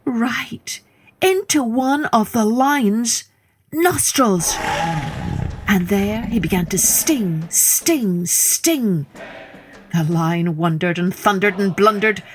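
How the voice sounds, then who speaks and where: Female children's storyteller, unhurried and dramatic, playing with hushes and addressing the listener directly. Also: medium pitch, background noise. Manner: theatrical, drops to breathy hushes, questions the listener. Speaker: storyteller, podcast host.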